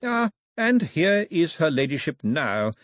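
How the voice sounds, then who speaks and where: Man playing a smooth, courtly crown official. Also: a little high, sing-song, a little slow, no echo, studio quality, pitched above the performer's own voice. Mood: courteous. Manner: courtly, urbane. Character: smooth, formal, self-assured male crown official. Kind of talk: audiobook character voice.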